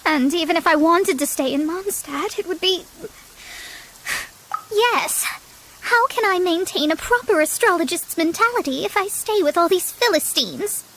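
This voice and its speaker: Proud young astrologer girl, really high voice, dramatic n haughty, easily flustered, anime game. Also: expressive, moderate pace, fairly bright tone, a little hiss or hum, low-quality recording. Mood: distressed. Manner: haughty, dramatic, formal phrasing. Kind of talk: anime-style video game voice acting. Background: music.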